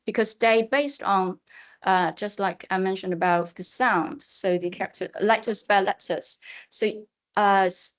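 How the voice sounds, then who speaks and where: Hesitant woman speaker answering questions. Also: mid-range voice. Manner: hesitant, repeats herself. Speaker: conference speaker.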